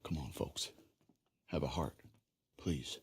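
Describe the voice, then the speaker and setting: Husky, earnest runaway lover. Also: male voice, very deep voice, expressive, slow pace, heavily acted. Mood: pleading. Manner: earnest, pleading. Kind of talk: video game cutscene acting.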